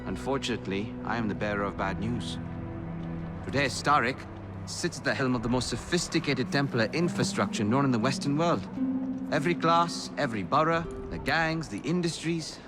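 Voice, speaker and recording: An actor playing a courteous assassin mentor, speaking in a formal, articulate and polite way in a video game. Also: male voice, mid-range voice, lively intonation, normal speed, loud music behind the voice, lightly acted. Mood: grave, earnest. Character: courteous, well-spoken male assassin mentor. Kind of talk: video game cutscene acting.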